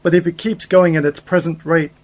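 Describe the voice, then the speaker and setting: Higher-voiced, resolute sci-fi hero played by a man. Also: fairly even intonation, a little fast, darkish tone, soft background music, lightly acted. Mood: grave, explaining. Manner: explanatory, resolute, dramatic. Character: commanding, principled time-travelling uncle and scientist hero. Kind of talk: science fiction radio play acting.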